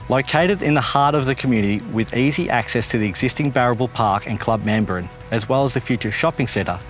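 A man's voice: lowish voice, fairly bright tone. A man in his thirties with an Australian accent, giving a polished, upbeat scripted project update to camera outdoors in a park as development manager. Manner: upbeat, polished corporate delivery.

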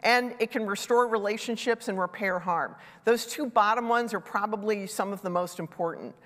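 A woman's voice: medium pitch, normal speed, a bit bright. A middle-aged woman giving a conversational conference talk on stage with a headset mic, a little congested, adding self-deprecating asides. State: congested from a sinus infection. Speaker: conference speaker. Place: large dark stage hall. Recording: reverberant conference hall.

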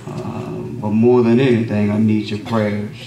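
A middle-aged man, a visiting pastor, opening his sermon and praying from the pulpit with a measured cadence and frequent amens. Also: lowish voice, flat delivery, warm tone, a little hiss or hum. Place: wood-paneled church sanctuary. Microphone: lectern microphone, camera at back of room. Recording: echoey room, distant camera, congregation responses.

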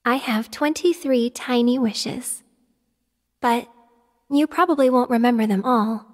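A shy, sweet young woman in a video game. Her voice is high and soft, with slow, hesitant, wistful phrasing. A long reverb hangs around every word. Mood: tender, wistful. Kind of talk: English video game dub acting.

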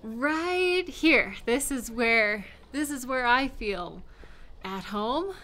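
Bright-voiced, nervous young woman, acted by a woman. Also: fairly high pitch, lively intonation, heavily acted. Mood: flustered, apologetic. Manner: halting, self-talking, giggly and apologetic. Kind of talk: intimate audio roleplay acting.

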